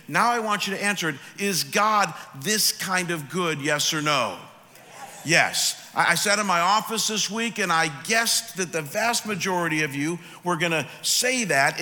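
A man with a cold and a congested, lowered voice, preaching a sermon as pastor, conversational at first and building to emphatic questions. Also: bright, forceful tone. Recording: long reverb of a large hall.

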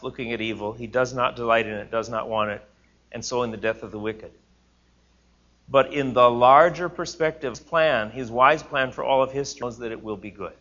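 Bright-voiced man teaching a class. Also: mid-range voice, lo-fi sound. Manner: earnest, thinking aloud with restarts. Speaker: teacher leading a class discussion. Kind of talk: classroom lecture with questions.

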